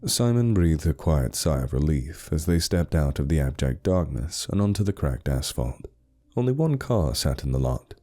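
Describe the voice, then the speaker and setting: A man narrating a scripted spooky story in a measured, suspenseful storytelling manner. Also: a bass voice, mellow.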